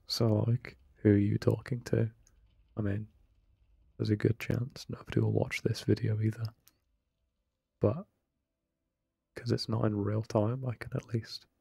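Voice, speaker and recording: A young man rambling softly in casual late-night commentary over a game he is playing. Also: lowish voice, vocal fry, husky, dry recording. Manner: soft-spoken, rambling, self-deprecating. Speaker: let's play creator. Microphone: close desk microphone, no webcam.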